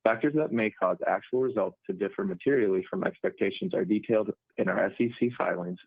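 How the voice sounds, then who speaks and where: A man's voice: lowish voice, gravelly, slightly rough, no room sound, narrow, tinny audio. A man reading a formal corporate script as an investor relations officer on a conference call line.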